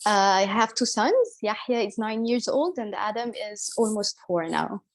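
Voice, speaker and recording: A woman's voice: medium pitch, animated, normal speed. A woman, speaking candidly and hesitantly as an interview guest. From Egypt. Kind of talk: casual interview.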